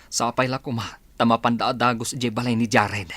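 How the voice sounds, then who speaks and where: A man playing a steady, caring young man in a radio drama. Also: mid-range voice, brisk, lightly acted. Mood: hopeful, resolved.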